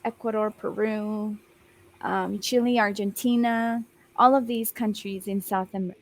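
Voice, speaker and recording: A woman's voice: mid-range voice, fairly even intonation. A young Australian woman tutoring English over a video call, patient and encouraging, rephrasing often with lots of ums. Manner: patient and encouraging, slows down and rephrases, many um fillers. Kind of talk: one-on-one language lesson over video call.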